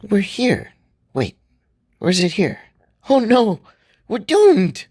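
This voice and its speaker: A man voicing an anxious young woman explorer in a radio drama, in a high, strained falsetto that tips into panic. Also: big pitch swings, slow, darkish tone, husky, no echo, clean studio sound, exaggerated voice. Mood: panicked.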